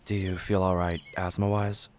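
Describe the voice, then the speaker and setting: A man voicing a calm, protective older camp boy in an audio drama, gentle and a little hesitant. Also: low voice, moderate pace, slight background noise, close to an everyday voice. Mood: calm, concerned.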